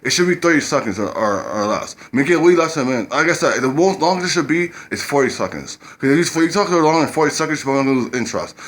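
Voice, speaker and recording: Fast-talking man giving blunt advice. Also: on the high side, expressive, a bit bright. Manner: rambling, repeats himself, blunt street slang. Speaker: dating advice vlogger. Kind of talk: casual talk to camera.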